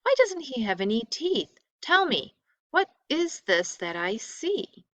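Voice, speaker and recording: A woman's voice: high-pitched, very animated, takes it slowly, no echo, pitched above the performer's own voice, exaggerated voice. Woman reading as an earnest young prince, swooping with wonder. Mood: shocked, puzzled. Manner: wide-eyed, insistent questioning.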